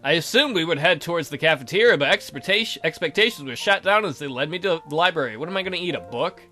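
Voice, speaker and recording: A man's voice: a little high, expressive, fast, sharp tone, faint music behind. A young man hosting a let's play, reading a visual novel aloud and adding playful, joking commentary.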